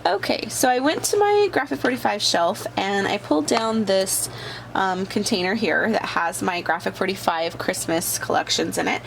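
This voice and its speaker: A woman's voice: medium pitch, lively intonation, moderate pace, gravelly, a little hiss or hum, poor audio. Chatty woman with a bad cold. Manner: chatty, many um fillers. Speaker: crafting channel host. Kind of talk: casual crafting tutorial.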